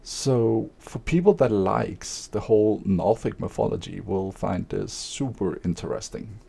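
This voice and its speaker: A man speaking slowly and evenly in a monotone, with a gravelly, husky low voice. His unhurried, hesitant monologue is dark and mellow in tone. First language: Danish. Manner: hesitant, many fillers. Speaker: streamer and aspiring author. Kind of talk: casual spoken monologue.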